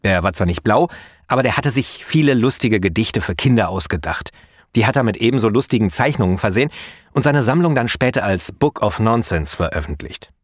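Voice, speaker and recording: A man hosting his own podcast, explaining in a chatty, relaxed way with frequent fillers. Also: fairly low pitch, brisk, no echo, clean studio sound. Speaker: podcast host and poet.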